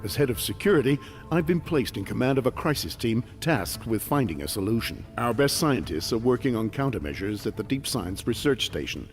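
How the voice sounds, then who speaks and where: An actor playing a brisk, earnest military security commander in video game cutscene dialogue. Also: male voice, mid-range voice, very animated, faint music behind, lightly acted. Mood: serious briefing. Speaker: security chief officer.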